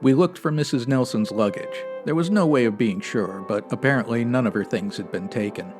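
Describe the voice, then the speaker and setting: A man's voice: medium pitch, a little fast, music in the background, close to an everyday voice. A man playing a stoic, no-nonsense 1950s police sergeant, narrating in clipped, matter-of-fact radio detective style. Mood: flat, factual. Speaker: actor in a comedy audio drama.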